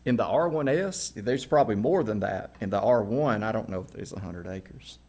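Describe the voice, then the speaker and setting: A man's voice: on the low side, lively intonation, moderate pace, a little mellow. A man on county staff answering a commissioner's questions at a meeting, cautious and hedging.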